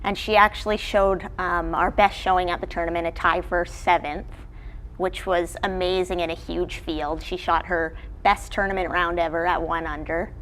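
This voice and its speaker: A woman's voice: fairly low pitch, steady tone, normal speed, fairly bright tone. A woman who coaches college sport, answering interview questions in a casual, conversational way. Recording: clearly noisy background ambience.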